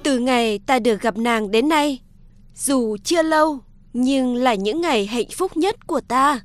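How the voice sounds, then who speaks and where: A woman's voice: sits high, lively intonation, unhurried, no echo, faint music behind. Woman playing an earnest young fisherman. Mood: tender, earnest. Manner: earnest, polite. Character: earnest young fisherman, devoted to his mother. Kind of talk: cartoon folktale voice acting.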